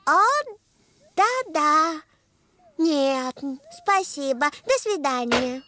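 A woman's voice: high voice, lively intonation, extremely slow, noisy background, exaggerated voice. A woman voicing a bossy, cheerful little girl piglet in a children's cartoon. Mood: self-important play-acting.

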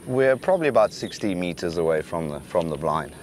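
A man's voice: sits low, lively intonation, a little mellow, slight background noise. A young South African man, a hunting guide whose first language is Afrikaans, talking outdoors in the bush with many uhs and restarts. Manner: halting, many fillers and restarts. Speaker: professional hunter guide. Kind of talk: outdoor hunt recap to camera. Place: outdoors in dry bushveld.

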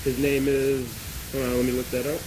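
A man prank calling as a stiff, solemn government agent, hesitant and deadpan, on a noisy phone call recording. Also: mid-range voice, brisk, natural voice. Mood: deadpan, official. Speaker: prank caller.

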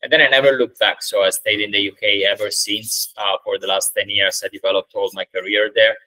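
Low, flat-voiced Italian man in his thirties. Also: rough recording. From Italy. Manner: measured, many "uh" and "um" fillers. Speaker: startup founder, guest. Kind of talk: conversational interview answers. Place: indoors, plain room. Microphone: video call webcam.

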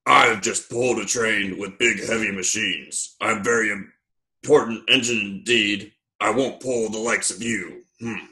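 A man's voice: fairly low pitch, fairly even intonation, measured pace, pushed and bright. A man voicing a big strong storybook freight engine, self-important and dismissive. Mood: pompous. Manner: pompous, dismissive.

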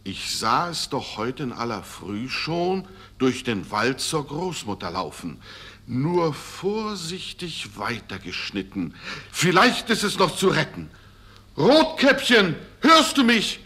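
A man's voice: on the high side, huge pitch swings, slow, sharp tone, husky, exaggerated voice. An actor playing an indignant, good-hearted huntsman in a fairy-tale radio play, declaiming and exclaiming. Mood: amazed, hopeful. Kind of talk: 1970s German fairy-tale record acting.

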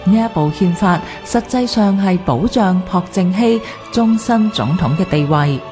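A woman's voice: mid-range voice, lively intonation, a little slow, warm tone. A woman with a Hong Kong Cantonese accent reads scripted history narration for a radio programme. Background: music behind the voice.

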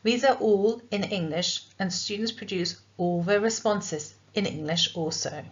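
A woman's voice: medium pitch, measured pace, lo-fi sound. Teacher woman presenting school curriculum. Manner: clear, explanatory teacher delivery. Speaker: subject leader for languages.

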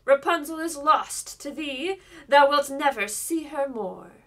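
A woman voicing a wicked old enchantress, loud, mocking and venomous. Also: high-pitched, expressive, measured pace, voice pushed up, heavily acted.

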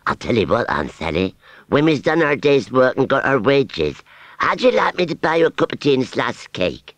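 A man's voice: medium pitch, sing-song, a bit bright, dry recording, pitched above the performer's own voice. A man voicing a simple, lovestruck scarecrow in a storybook, plaintive and grumbling, with a West Country English accent. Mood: affectionate. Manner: rustic, plaintive, slow-witted.

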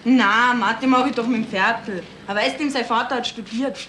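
A woman's voice: on the high side, normal speed, bright, forceful tone. A female performer playing a cheeky, wheedling schoolchild with a Bavarian accent in a radio crime play. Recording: noisy 1970s radio recording.

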